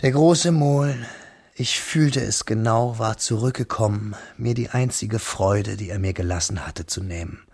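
An actor playing a wistful, pensive young man who narrates his memories in a measured, reflective way for a literary radio drama. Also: on the low side, creaky, a little mellow, slightly rough, dry recording, studio quality, full character voice. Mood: bittersweet.

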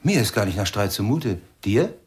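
A man's voice: lowish voice, very animated, moderate pace. An actor playing a teasing, impatient husband and father in a German radio play. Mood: needling. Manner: teasing, needling.

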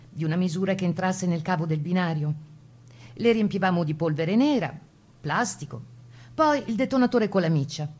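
An actress plays a woman of the Resistance telling her own story. Her voice sits fairly low, and she speaks in plain, measured phrases, as in a radio testimony. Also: a little mellow, natural voice. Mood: matter-of-fact. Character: Italian woman partisan recounting wartime escape and sabotage. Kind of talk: dramatised testimony monologue for radio.

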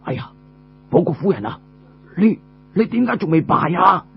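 Man voicing an excitable, swooping young warrior. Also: sits high, normal speed, gravelly, dull audio, slight background noise, full character voice. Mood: theatrical urgency. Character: young male enemy warrior, theatrical, eager, pleading.

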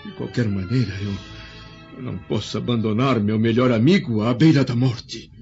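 A man's voice: medium pitch, moderate pace, creaky, darkish tone, natural voice. An actor playing a stern middle-aged Roman senator and father in a radio drama, formal and theatrical in delivery. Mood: dismayed. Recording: muffled audio under music.

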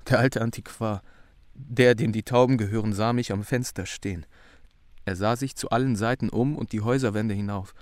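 A man's voice: on the low side, fairly even intonation, moderate pace, dry recording, clean studio sound, natural voice. An actor playing a troubled, bewildered young man in a radio drama, recounting things in an earnest, brooding way. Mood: subdued despair. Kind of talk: German radio drama acting.

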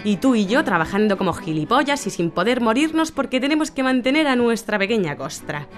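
A woman's voice: a little high, very animated, a little fast, faint music behind. An actress in a satirical radio drama playing a pragmatic, exasperated mother who reasons sarcastically, with a Castilian Spanish accent. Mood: scathing.

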